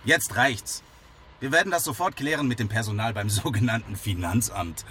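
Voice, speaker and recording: A pompous companion who styles himself a legal representative. His voice is fairly low, his wording stilted and formal as he tries to soothe. Faint traffic noise sits behind this radio drama scene. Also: normal speed, sharp tone. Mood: placating. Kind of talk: naturalistic German radio drama acting.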